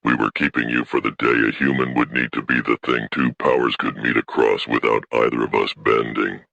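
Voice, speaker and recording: A man playing a stern, proud alien from a wronged people in a sci-fi audio story, solemn and unbending, with a gravelly voice. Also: flat delivery, fairly quick, bright, forceful tone, no room sound, highs cut off, full character voice. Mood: grave resolve. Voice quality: very deep, gravelly.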